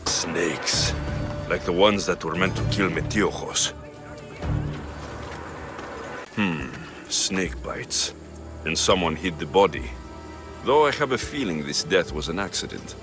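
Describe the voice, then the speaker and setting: An actor playing a young, steady, dry-witted mercenary hero in a video game. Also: male voice, low-pitched. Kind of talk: video game dialogue acting. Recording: music under the voice.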